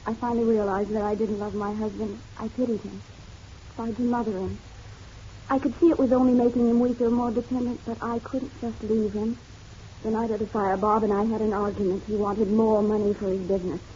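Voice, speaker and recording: An actress playing a distraught, guilt-ridden wife in a melodramatic radio drama, halting and emotional. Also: female voice, mid-range voice, steady tone, dark tone, natural voice. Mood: sorrowful confession. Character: distraught, guilt-ridden married woman confessing to a detective. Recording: dull lo-fi radio drama, faint noise.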